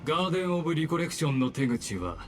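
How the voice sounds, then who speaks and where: An actor playing a polite, menacing overseer in an anime game, formal and condescending. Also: male voice, fairly high pitch, very animated, rapid-fire, soft background music. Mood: coldly courteous. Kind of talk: Japanese anime game voice acting.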